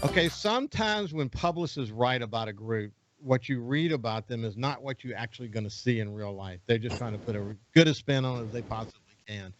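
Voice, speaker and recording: A hoarse man hosting a radio talk show, chatting loosely and correcting himself as he goes. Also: medium pitch, fairly quick, highs cut off, music in the background. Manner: relaxed, rambling, self-correcting. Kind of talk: casual interview.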